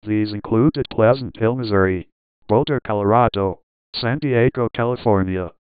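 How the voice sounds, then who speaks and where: A man's voice: fairly low pitch, moderate pace, smooth, no echo, natural voice. A synthetic male voice playing a pleasant, robotic weather radio announcer. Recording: dull lo-fi synthesizer audio.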